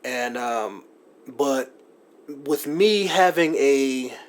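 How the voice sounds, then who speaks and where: A man's voice: medium pitch, animated, very slow. A man talking through his video-editing setup in a casual, rambling way, with lots of ums, likes and you-knows. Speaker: gaming YouTuber explaining his editing setup. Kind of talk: casual screen-recorded tutorial. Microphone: USB desktop mic.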